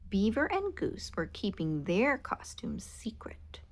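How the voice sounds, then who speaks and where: A woman reads a children's picture book aloud, expressive and animated. She takes her time, letting her voice rise and fall widely. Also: medium pitch, slow, in a whisper. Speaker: storybook reader. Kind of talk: children's read-aloud narration.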